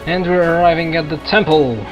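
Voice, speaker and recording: A man giving casual, halting gameplay commentary as he hosts a gaming video. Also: a little high, poor audio. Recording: game music and battle noise behind. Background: video game music and combat sounds.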